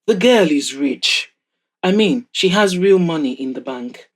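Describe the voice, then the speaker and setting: A woman's voice: fairly low pitch, expressive, unhurried. A woman voicing a proud, wry, wealthy older mother of a grown son in an audio drama, teasing and frank as she advises.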